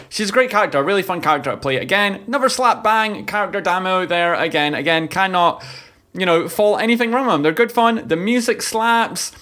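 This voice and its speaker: A man, giving casual, exclamatory reaction commentary on a close mic at home. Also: a little high. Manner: animated, exclamatory, repetitive. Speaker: reaction video host. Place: small home streaming room. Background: music from the watched video.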